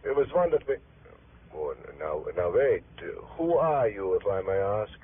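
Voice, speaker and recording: An actor playing a helpful but wary gun shop clerk in an old radio drama, halting and hesitant as he talks. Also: on the low side, big pitch swings, slightly rough. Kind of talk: 1950s-60s radio drama acting. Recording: tinny, faintly noisy vintage radio recording.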